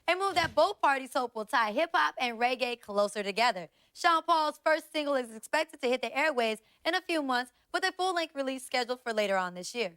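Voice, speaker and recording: A woman's voice: high-pitched, animated, a bit bright, smooth. A woman playing a composed news anchor in a video game, reading scripted reports formally with an American accent. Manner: polished, formal, measured reading.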